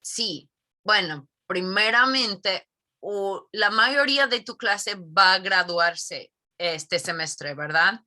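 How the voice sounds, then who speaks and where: A woman's voice: medium pitch, slow pace, pushed and bright. A middle-aged woman, a Spanish teacher, giving thoughtful interview answers with many fillers and tag questions.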